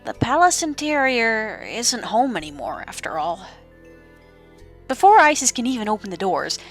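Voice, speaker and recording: A woman narrating a scripted mythological tale as a storyteller, in an expressive, deliberate manner with dramatic pauses. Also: medium pitch.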